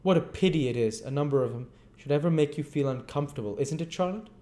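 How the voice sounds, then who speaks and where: Tipsy young male apprentice, whining, sulky, high-pitched, a man voicing him. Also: fast talker, warm tone, natural voice. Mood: smug. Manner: whining, sulky, self-pitying.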